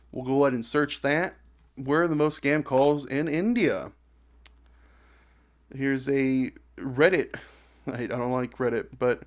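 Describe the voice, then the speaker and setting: Man reading aloud in halting, casual fashion. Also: medium pitch. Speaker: reaction video host.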